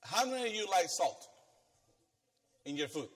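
A middle-aged man with a Filipino accent preaches an animated sermon, pacing the stage and putting questions to the congregation. His voice sits high for a man. It rings out with the long reverb of a church sanctuary, picked up by a stand mic he keeps moving away from. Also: normal speed. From Philippines.

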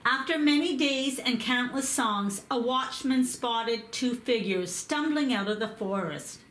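A woman reading a picture book aloud, slow and careful in her storytelling. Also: fairly high pitch, fairly bright tone, slight background noise. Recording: echoey room.

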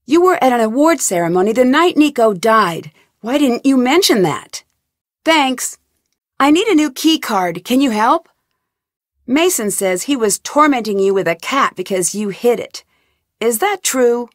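A young woman detective in a game, polite and curious, presses people with earnest questions. Her voice sits a little high. The studio recording is dry and close, with no room sound. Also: lively intonation, a little slow, dry recording. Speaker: voice actor. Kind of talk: game voice lines. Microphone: studio mic, dry.

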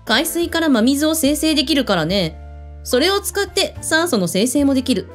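A woman's voice: sits high, big pitch swings, moderate pace, soft background music. An actress in a radio drama playing a breezy, blunt female hotel owner who speaks with authority. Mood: explanatory, confident.

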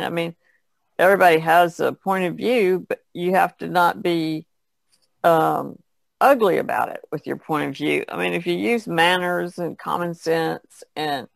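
A woman's voice: sits low, gravelly, no room sound. A woman chatting casually in a podcast discussion.

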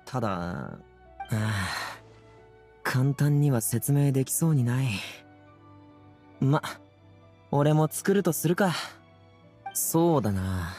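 A man's voice: mid-range voice, big pitch swings, slow pace, pauses often, darkish tone, soft background music, full character voice. A man playing an easygoing, good-natured young man in an anime game, casual, boyish and a little hesitant. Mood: hesitant, thoughtful. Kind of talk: Japanese anime game acting.

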